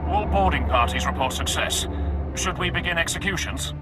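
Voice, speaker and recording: An actor playing a brisk, obedient Imperial soldier in a video game, with clipped military delivery. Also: male voice, a little high, over loud music, lightly acted. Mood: clipped duty. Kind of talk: dramatic video game acting.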